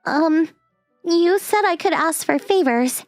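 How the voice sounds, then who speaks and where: A woman's voice: extremely high pitch, takes it slowly, soft background music. A woman playing a shy, earnest young step-sister in an anime-style manga dub, speaking in a hesitant, meek way. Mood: shy, affectionate.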